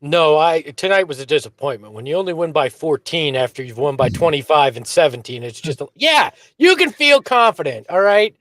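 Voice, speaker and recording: A man with a high voice co-hosts a casual sports podcast. He speaks in a dry, teasing deadpan. The recording is dry, with no room sound. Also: a little fast, few pauses, no room sound. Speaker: podcast co-host.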